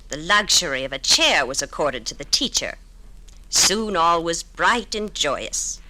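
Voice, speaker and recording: Pioneer schoolteacher, old radio drama, wry and literary, crisp bright voice that swings around a lot. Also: female voice, on the low side, exaggerated voice, poor audio. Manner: literary, wryly humorous storytelling. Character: good-humored pioneer schoolteacher woman recalling her log schoolhouse. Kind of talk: 1950s radio dramatized reading.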